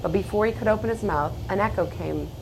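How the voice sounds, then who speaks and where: A woman hosting a children's read-aloud, speaking in a measured, expressive way. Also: mid-range voice, creaky, background noise. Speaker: host reading a children's book aloud and answering questions. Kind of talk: read-aloud storytelling and informal explanatory talk.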